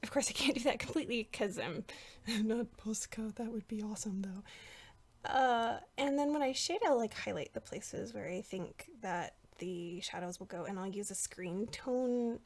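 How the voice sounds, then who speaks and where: A woman's voice: mid-range voice, normal speed. A woman giving casual, informal behind-the-scenes commentary on her drawing, with a self-deprecating manner. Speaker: portrait artist and voice actor for a fan manga dub.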